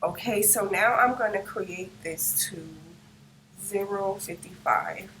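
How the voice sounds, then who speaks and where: A hoarse woman narrating a step-by-step design tutorial, describing each click as she works and checking in with the viewer. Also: medium pitch, takes it slowly, a bit bright, a little hiss or hum, low-quality recording. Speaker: crafting tutorial host. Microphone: no microphone, device's built-in mic.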